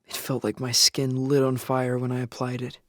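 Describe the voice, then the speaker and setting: A man's voice: mid-range voice, completely flat delivery, moderate pace, no echo, clean studio sound, lightly acted. Somber young male survivor, played by an actor. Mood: somber, reflective. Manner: somber, earnest storytelling with anguished outbursts. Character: young male apocalypse survivor, grieving, shaken, searching for his father. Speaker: lead actor and narrator. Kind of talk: first-person audio drama narration with acted scenes. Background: music.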